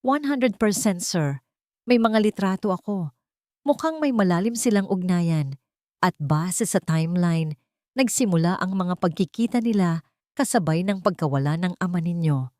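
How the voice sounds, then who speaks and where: A woman's voice: on the low side, lively intonation, moderate pace, darkish tone, no echo, clean studio sound, natural voice. Woman reading as deferential private investigator. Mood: urgent. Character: businesslike private investigator reporting to his boss.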